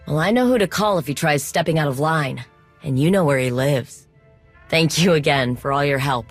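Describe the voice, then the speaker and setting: An actress playing a friendly, determined droid tinkerer in a video game, talking in a casual, reassuring way. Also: female voice, low-pitched. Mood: relieved, hopeful.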